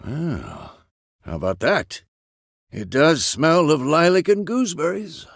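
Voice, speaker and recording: A man playing a gruff, seasoned old monster-hunter mentor in a video game, with gravelly, measured, instructive delivery. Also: a little high, sing-song, no echo, studio quality, exaggerated voice. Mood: wry, musing. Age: older. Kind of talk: video game character dialogue.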